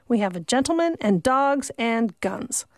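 A woman's voice: a little high, animated, a little slow, no echo, clean studio sound. A woman voicing a blunt, suspicious old female servant who scolds in a rustic country dialect.

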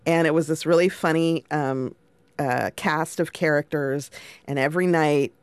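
A woman's voice: sits low, steady tone, moderate pace, smooth, no room sound. A woman in her forties co-hosting a casual talk show, telling a funny story and laughing often. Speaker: co-host. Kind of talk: casual chat.

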